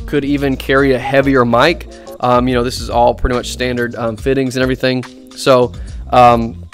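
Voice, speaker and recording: Chatty young man reviewing a microphone. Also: mid-range voice. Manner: chatty, many ums and restarts. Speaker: drummer and gear reviewer. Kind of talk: casual product review to camera. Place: dark studio room, seated at a desk. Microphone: USB condenser on a boom arm, speaking off-axis.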